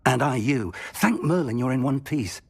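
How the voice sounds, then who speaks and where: A husky old wizard professor. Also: male voice, medium pitch, expressive, normal speed. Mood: earnest. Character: older male wizard professor, kindly mentor. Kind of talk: game cutscene acting.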